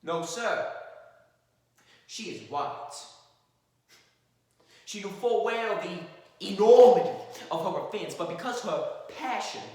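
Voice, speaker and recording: A man's voice: high voice, expressive, darkish tone. Man acting an impassioned defense lawyer, echoing hall. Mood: grave, building. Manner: emphatic oratory with dramatic pauses. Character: impassioned male defense lawyer pleading to a jury. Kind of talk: theatrical courtroom monologue. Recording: very echoey hall, far from the mic.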